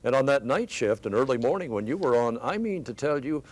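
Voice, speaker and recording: A man's voice: medium pitch, lively intonation, brisk, rough recording. An older American man, a veteran radio broadcaster, telling casual stories from his years on the air in a panel chat. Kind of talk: panel reminiscence, casual storytelling.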